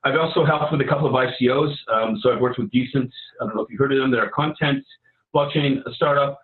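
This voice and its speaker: A man's voice: mid-range voice, fast, narrow, tinny audio. Canadian man in an echoey room. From Vancouver, Canada. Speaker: editor and hackathon participant pitching a project. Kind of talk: informal project pitch to an online audience. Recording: roomy, echoey sound.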